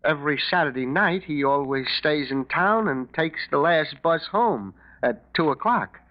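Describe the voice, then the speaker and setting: A desperate, weak-willed small businessman in an old radio crime drama. He is nervous and halting, his fairly high voice rising and dipping a lot, heard through a muffled vintage recording. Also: expressive. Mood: furtive, hesitant. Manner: nervous, stammering, hesitant. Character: anxious, desperate male garage owner, weak-willed and scheming. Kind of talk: 1940s radio mystery acting.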